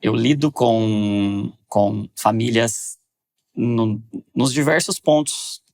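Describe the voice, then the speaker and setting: A man's voice: medium pitch, darkish tone. A man hosting a faith podcast interview, speaking reflectively with many restarts. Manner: reflective, speeds up and slows down, frequent restarts. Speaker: podcast host.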